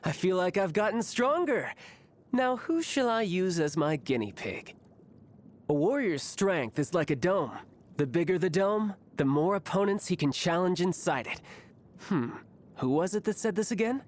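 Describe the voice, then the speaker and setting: A man's voice: sits high, expressive, normal speed. An actor voicing a cocky, playful, battle-hungry young male warrior for a video game, with a breezy, boastful, theatrical delivery. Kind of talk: video game character voice lines. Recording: music behind the voice.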